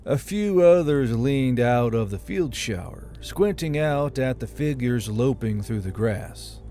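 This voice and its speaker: Mellow male narrator over music. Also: mid-range voice. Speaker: audiobook narrator. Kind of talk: scripted fiction narration. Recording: music under the voice.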